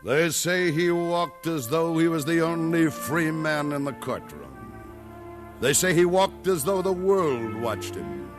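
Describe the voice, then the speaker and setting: An actor playing a solemn storyteller of local legend, narrating a 1950s radio drama in an incantatory way. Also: male voice, fairly high pitch, sing-song, a little slow. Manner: incantatory, solemn, repeating phrases. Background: brass music.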